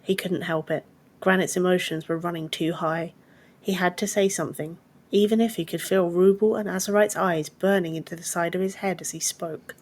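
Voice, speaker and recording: A woman's voice: fairly low pitch, steady tone, moderate pace, darkish tone. A woman reading scripted fiction as an audiobook narrator.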